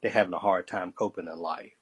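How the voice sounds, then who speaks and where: A man joining a casual chat as a guest, explaining things in a measured way. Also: low voice, steady tone, a crowd in the background.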